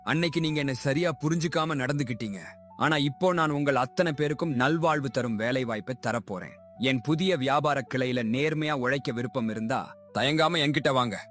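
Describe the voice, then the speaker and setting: A man's voice: medium pitch, brisk, fairly bright tone, soft background music, close to an everyday voice. A man playing an honest, humble, forgiving orphan grown up, in a dramatised moral story.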